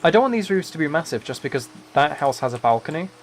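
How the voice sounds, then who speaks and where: A soft-spoken man livestreaming, chatting in a relaxed way and musing aloud as he plays a game. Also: fairly high pitch, fast, soft background music. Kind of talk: relaxed chat while playing a building game.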